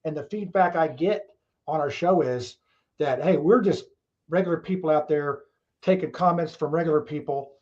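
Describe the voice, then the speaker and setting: A man's voice: on the high side, normal speed, darkish tone, highs cut off. A man hosting a casual talk show, rambling along in a folksy way with frequent chuckles.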